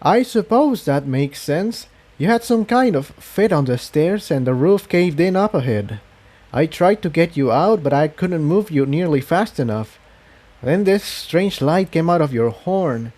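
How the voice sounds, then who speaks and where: A man voicing an earnest, excitable young female pony in a storybook adventure. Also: on the high side, a little slow, voice pushed up.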